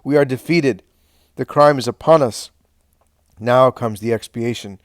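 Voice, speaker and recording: A man's voice: mid-range voice, unhurried, no echo, clean studio sound, close to an everyday voice. A man voicing a guilt-stricken man who laments in a heavy, despairing way, fearing punishment for a crime. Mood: anguished dread.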